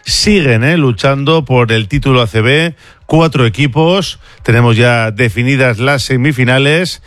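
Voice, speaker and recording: A male sports radio host runs through results and listener messages in a brisk, conversational way. His mid-range voice sits close on a dry studio mic. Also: mid-range voice, fairly bright tone, dry recording. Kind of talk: radio sports news presenting.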